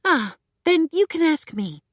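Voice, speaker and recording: Stern elf guild master, read by a woman; high, animated and unhurried, dry recording. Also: no room sound, exaggerated voice. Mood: commanding. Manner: commanding, clipped.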